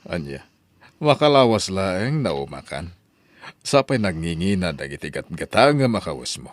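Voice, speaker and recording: A man playing a well-off middle-aged father and patron in an audio drama, paternal and gently admonishing. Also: low voice, very animated, normal speed, dry recording. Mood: scolding. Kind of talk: Ilocano audio drama acting.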